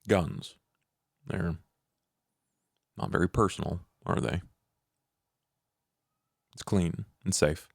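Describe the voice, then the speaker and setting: A man voicing a stoic, world-weary male vampire in a tabletop game, giving terse, measured commands. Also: low-pitched, creaky, no room sound, clean studio sound, heavily acted. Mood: calm, commanding.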